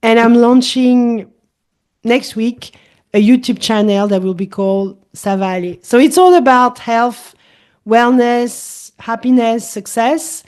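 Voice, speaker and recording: A woman's voice, a little higher than most, speaking English with a clear French accent. She talks as a middle-aged holistic coach in a relaxed interview and explains her views thoughtfully. She often comes back to the same point in slightly different words. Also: unhurried. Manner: thoughtful, explanatory, repeats key phrases. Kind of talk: casual interview chat.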